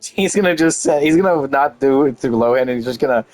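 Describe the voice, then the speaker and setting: A man's voice: medium pitch, quick pace, a little mellow, faint music behind. A young man giving casual, rambling game commentary with enthusiasm, often repeating himself. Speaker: game streamer.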